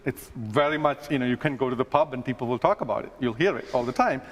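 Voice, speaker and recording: A man who grew up in Sri Lanka, an astronomer speaking earnestly at a conference, pausing with fillers and restarts in the Q&A. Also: mid-range voice. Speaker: astronomer giving a talk. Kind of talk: stage talk then casual Q&A. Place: conference stage. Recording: long hall reverb.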